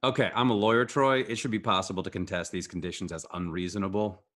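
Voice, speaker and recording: An actor playing an indignant, glib lawyer in a sitcom table read on a video call. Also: male voice, low-pitched, fairly even intonation, fast, a bit bright.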